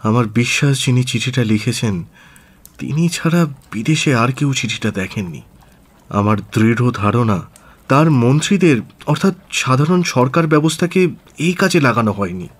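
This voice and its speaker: An actor playing an aristocratic government minister in a radio drama, formal, earnest and deliberate, with hesitant pauses. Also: male voice, medium pitch, lively intonation, slightly rough. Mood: careful, precise. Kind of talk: Bengali radio drama acting.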